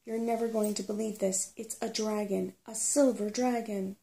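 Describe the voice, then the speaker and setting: A woman's voice: medium pitch, steady tone, moderate pace, gravelly, dark tone, natural voice. A woman voicing a tiny stout male dwarf in a storybook, bossy, suspicious and blunt. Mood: hushed awe.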